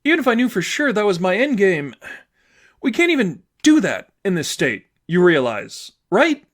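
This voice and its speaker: A man's voice: high voice, sing-song, normal speed, pitched above the performer's own voice. A man voicing an upset teenage girlfriend, wounded and bitter, with emphatic, sarcastic delivery in a fan fiction audiobook. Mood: hurt, incredulous.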